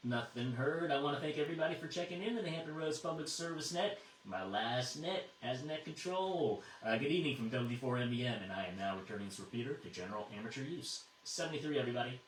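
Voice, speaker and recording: American male net controller, casual and halting, heard distant and roomy over a radio link. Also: medium pitch, expressive, a little hiss or hum. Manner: halting, repeats words while thinking. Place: over amateur radio repeater. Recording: echoey, distant radio transmission.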